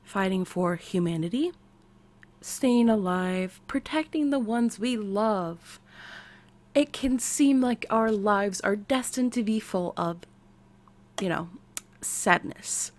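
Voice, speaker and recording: A woman with an American accent voicing an eccentric, excitable woman scientist playing therapist in an ASMR roleplay, with quirky, halting delivery. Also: medium pitch, close to an everyday voice. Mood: earnest, soothing. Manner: quirky, halting, drawn-out delivery with sudden swoops. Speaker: roleplay voice performer. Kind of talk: ASMR character roleplay, speaking to the listener.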